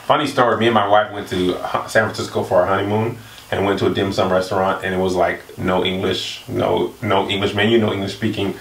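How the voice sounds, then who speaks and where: A man co-hosting a casual panel chat, asking questions and telling funny, expressive stories. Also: fairly low pitch, brisk, gravelly. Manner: joking, expressive storyteller. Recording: roomy, distant mic.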